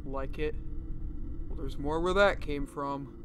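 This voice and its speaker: Awkward teenage girl nerd turned kidnapper, played by a woman: petulant deadpan, dragging delivery, comic audio roleplay, faint noise behind. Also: deep voice, slow. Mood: sulky deadpan. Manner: deadpan, petulant, exasperated outbursts. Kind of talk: comedic roleplay audio monologue.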